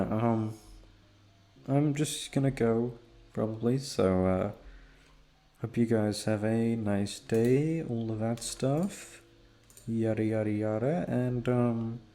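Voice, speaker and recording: A young man chatting casually to his listeners in a quiet, low-energy, laid-back way, hesitant and swearing freely. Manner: hushed, low-energy, keeping quiet for others in the house. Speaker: audio roleplay creator and host. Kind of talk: rambling casual chat to listeners. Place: at home.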